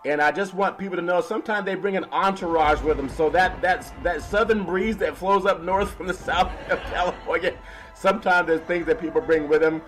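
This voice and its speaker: A man with a raspy, half-lost voice hosting a casual sports talk show, laughing often and talking slowly. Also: high voice, fairly bright tone, faint music behind, poor audio. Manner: slow and laughing, keeps calling his co-host's name. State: hoarse, partly lost voice. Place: West Coast radio studio.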